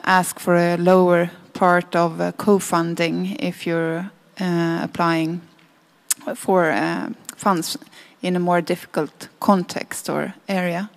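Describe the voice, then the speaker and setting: Female spokesperson, low flat pitch, hesitant matter-of-fact delivery, informal meeting report. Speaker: workshop group spokesperson.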